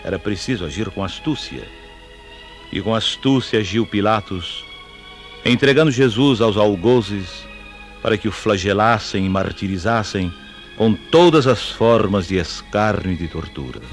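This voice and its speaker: Male narrator, lowish voice, solemn declamatory storytelling for scripted drama, light music underneath. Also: a little slow.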